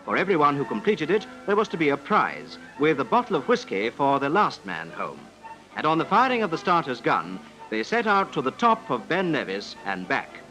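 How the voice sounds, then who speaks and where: A man's voice: fairly high pitch, wildly swooping pitch, normal speed, bright, forceful tone, poor audio. A man narrates a scripted 1950s newsreel in a formal, dramatic manner. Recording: vintage 1950s newsreel soundtrack, music.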